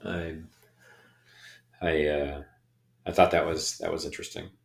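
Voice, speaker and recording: A middle-aged man with a very low, deep voice, speaking slowly and haltingly with frequent ums. He is talking personally and reflectively in a casual podcast chat. The sound carries the echo of a bare room. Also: level tone, vocal fry, a little hiss or hum. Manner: hesitant, many ums and restarts. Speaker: podcast co-host. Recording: echoey room.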